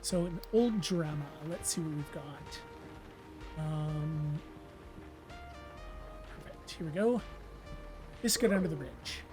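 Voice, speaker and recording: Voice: medium pitch, slow pace, pauses often, music in the background. A let's play host giving casual gameplay commentary, rambling with lots of fillers and self-deprecating asides, voice weakened and strained from losing it.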